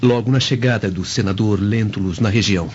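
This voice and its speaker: An actor playing a sly, insinuating servant with false humility in a Brazilian radio drama, with a Brazilian accent. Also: male voice, fairly low pitch, fairly quick, lo-fi sound, slight background noise, lightly acted. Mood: sly, deferential. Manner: deferential, insinuating.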